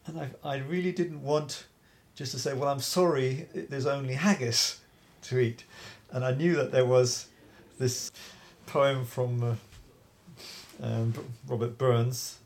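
A man chatting in an interview about organizing a dance event, halting with many restarts and laughs. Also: medium pitch, steady tone, darkish tone. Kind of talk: casual interview chat.

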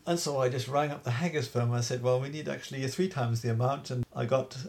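A man chatting in an interview about organizing a dance event, halting with many restarts and laughs. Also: medium pitch, steady tone, darkish tone. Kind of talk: casual interview chat.

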